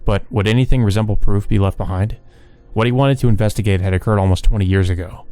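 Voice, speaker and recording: A man's voice: fairly low pitch, fast talker, mellow. A man reading a visual novel aloud and commenting casually as he plays. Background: game music.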